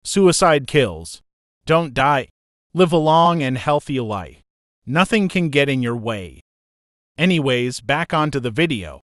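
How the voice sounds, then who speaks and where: A man's voice: medium pitch, lively intonation, a little slow, no room sound, clean studio sound. A man speaking directly to the viewer in a scripted public service message.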